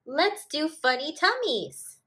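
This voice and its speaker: A woman voicing excited young children at play in a storybook, exclaiming loudly and eagerly. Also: high-pitched, slow, pitched above the performer's own voice, heavily acted.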